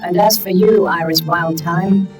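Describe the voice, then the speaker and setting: A man's voice: high voice, steady tone, measured pace, soft background music, pitched above the performer's own voice. A man voicing a talking handbag that scolds everyone in a lofty, reproachful tone in an audiobook. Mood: stern.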